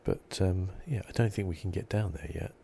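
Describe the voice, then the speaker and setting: A man giving soft, relaxed, musing ASMR commentary as host of a video game playthrough. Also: low voice, moderate pace, vocal fry, slight background noise. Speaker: gaming channel host.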